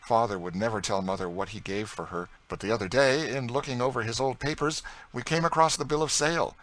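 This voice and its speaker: A man voicing a good-hearted, impulsive young gentleman from Kentucky in a storybook, frank, open and assured in manner. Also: mid-range voice, a little fast, pitched above the performer's own voice, close to an everyday voice, low-quality recording. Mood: informative.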